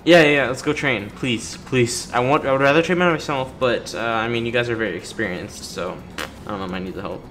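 A man's voice: mid-range voice, a little fast, fairly bright tone. A man doing casual, playful gameplay commentary, chattering in slang and talking to the game's characters. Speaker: gaming YouTuber doing a playthrough. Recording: light game music behind. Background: video game music.

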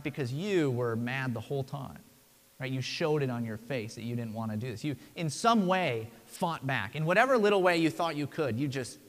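Conversational young pastor in a reverberant church. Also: male voice, on the high side. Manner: conversational, frequent tag "right?". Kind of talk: sermon. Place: church sanctuary.

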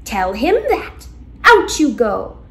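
Woman playing an imperious storybook witch, animated. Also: a little high, slow, creaky, full character voice. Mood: stern. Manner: imperious, scolding. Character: haughty, menacing old-style witch shopkeeper woman.

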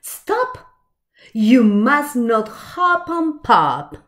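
Storytime reader: middle-aged woman, high-pitched, very animated delivery of a picture book. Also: very slow. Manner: expressive, with asides and questions to the listeners. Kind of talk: reading a picture book aloud to young children. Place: small indoor room with shelves.

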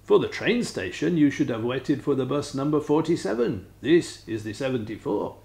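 Eager, courteous Frenchman, as a storyteller puts him on with a French accent. Lively pitch and a gravelly edge. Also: normal speed. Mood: apologetic. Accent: French, put on. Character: polite helpful Frenchman, adult male.

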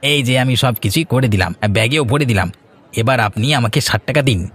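A man's voice: medium pitch, brisk, a bit bright, breathy edge, some chatter behind. Eager Bengali cartoon fishmonger, acted by a man. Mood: insistent. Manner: deferential yet insistent sales patter. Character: eager, insistent village fish seller. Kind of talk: cartoon voice acting.

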